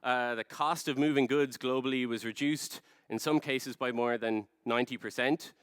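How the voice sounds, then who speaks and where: An Irish man in his thirties giving a rehearsed conference presentation, explaining things in a measured, storytelling way with emphasis. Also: mid-range voice, steady tone, a bit bright, smooth, no echo, clean studio sound. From Ireland. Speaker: conference speaker, product design director. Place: large dark stage, indoors.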